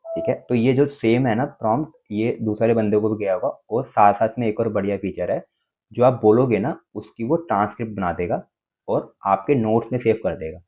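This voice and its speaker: Fast-talking Indian man giving a tutorial. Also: on the low side, dark tone. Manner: explanatory, repeatedly checking in with tag words. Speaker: tech tutorial presenter. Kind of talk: casual step-by-step phone feature tutorial.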